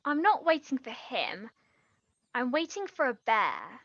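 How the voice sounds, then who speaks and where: An actress plays a gentle, earnest younger woman in an intimate stage drama. Her voice sits high and she speaks haltingly, letting thoughts trail away. The recording is dry and slightly dull. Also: very animated, no room sound, heavily acted. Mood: earnest, eager. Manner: halting, trailing off mid-sentence. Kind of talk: stage play acting, quiet two-hander.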